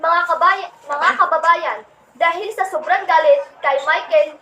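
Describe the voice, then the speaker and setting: Girl doing a super high voiced radio reporter, polite and formal, echoey and off the mic. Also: unhurried, bright, forceful tone, exaggerated voice. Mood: polite, reporting. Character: dutiful field radio reporter broadcasting from hell. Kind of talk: parody radio news report. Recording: echoey room, distant mic, faint noise.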